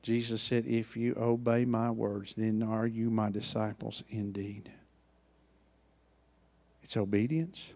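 A man with an Oklahoma accent preaching a sermon in a church, with a folksy manner, dropping low and quiet and then rising loud. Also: fairly even intonation, slow, pauses often, big-room sound. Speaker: pastor.

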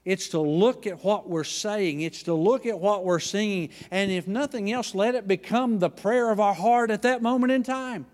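Folksy Oklahoma preacher, soft then loud. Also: male voice, high-pitched, normal speed, few pauses, in a large hall. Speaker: pastor. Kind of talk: sermon. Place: church.

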